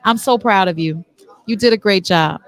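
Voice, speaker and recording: A woman's voice: medium pitch, normal speed. A woman hosting an on-location interview show, talking in an effusive, encouraging way.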